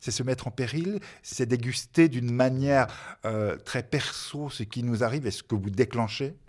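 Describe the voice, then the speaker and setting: A man hosting a radio interview, asking lively, effusive questions. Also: mid-range voice, moderate pace. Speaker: radio host.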